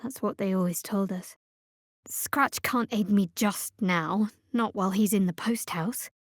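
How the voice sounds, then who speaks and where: An actress playing a guarded, sardonic young female cleric of a dark goddess in a fantasy video game, speaking in a measured, dryly wry way. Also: mid-range voice, no room sound, studio quality, natural voice. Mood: reflective, solemn. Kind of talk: video game voice lines, dramatic fantasy acting.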